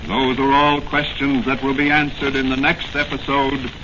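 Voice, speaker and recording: A man's voice: medium pitch, monotone, moderate pace. A man narrating a 1940s radio serial as announcer, in a dramatic, formal style. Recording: noisy, muffled old radio recording.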